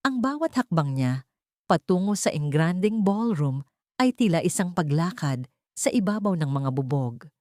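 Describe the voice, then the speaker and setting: Woman narrating a dramatic story, low mellow voice, very dry sound. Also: sing-song, normal speed, no room sound.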